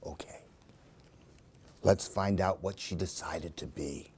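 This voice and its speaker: A man's voice: sits low, slow, a little mellow, slight background noise. A man reading a children's story expressively, in a sing-song way, putting questions to young listeners. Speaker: storyteller reading picture books for a library program.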